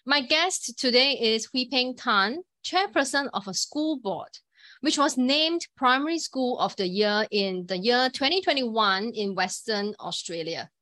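A woman podcast host and executive coach with a polished, measured delivery, introducing her show and asking questions. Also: on the high side, lively intonation, pushed and bright. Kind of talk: scripted podcast intro and interview.

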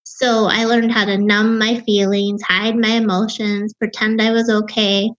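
A woman in her fifties with a Wisconsin accent and a young-sounding voice, telling her own story as an interview guest in a reflective way, with halting restarts. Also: mid-range voice, level tone, dull audio. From Wisconsin, USA. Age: 50s. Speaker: author and grief guest.

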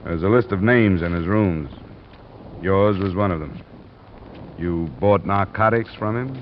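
A hard-boiled private eye with a low American voice and a clipped, deadpan delivery. He sounds like golden-age radio drama, on a muffled old recording with some hiss. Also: a little slow. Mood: firm, calm. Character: hard-boiled, wry private insurance investigator. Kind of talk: 1940s-50s radio detective acting and narration.

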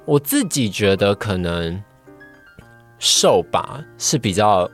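A woman's voice: a bass voice, expressive, takes it slowly, faint music behind. A woman with a Taiwanese Mandarin accent chatting casually as a podcast guest. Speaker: podcast guest, trainee voice actor. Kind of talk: casual chat.

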